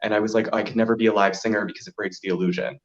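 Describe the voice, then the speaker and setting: A man with a deep voice telling stories casually, as an interview guest who performs in drag. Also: steady tone, rapid-fire. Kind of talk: casual interview chat, storytelling. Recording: dull, lo-fi audio.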